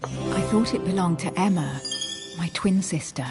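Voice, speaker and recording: A woman's voice: lowish voice, normal speed, loud music behind the voice, lightly acted. An actress playing a proud, guarded young woman agent in a dramatic video game, speaking with cutting, defiant self-assurance. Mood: confiding, earnest. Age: young adult. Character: guarded, proud, sharp-witted young female secret agent.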